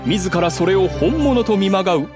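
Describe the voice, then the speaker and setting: An actor playing a theatrical storyteller in a fantasy game, reciting old legends politely and with flourish. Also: male voice, sits high, animated, moderate pace, over music. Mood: dramatic.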